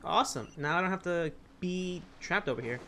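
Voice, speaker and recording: A man's voice: sits high, animated, a little fast, sharp tone, slight background noise. A man giving casual, chatty commentary while he plays a video game. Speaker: gamer, video creator.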